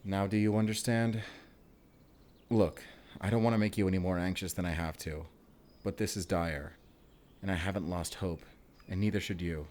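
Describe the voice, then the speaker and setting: A man acting as a Spanish vampire slayer in a romantic audio drama. His voice sits fairly low and carries a Spanish accent. He speaks closely and earnestly, like a tormented, tender hero talking to his lover. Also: fairly even intonation, normal speed, a little mellow, natural voice. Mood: grave, earnest.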